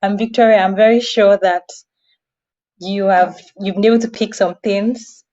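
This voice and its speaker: Soft-edged mellow lady host on a livestream, chatty and thankful, slightly muffled lo-fi sound. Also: mid-range voice, fairly even intonation, normal speed. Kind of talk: hosting a live online event. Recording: dull, lo-fi online audio.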